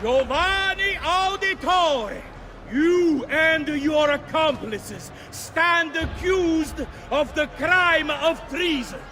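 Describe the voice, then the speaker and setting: An actor playing a pompous, treacherous official, declaiming judgement in a stately public voice. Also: male voice, extremely high pitch, very slow, background noise, exaggerated voice. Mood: pompous, stern.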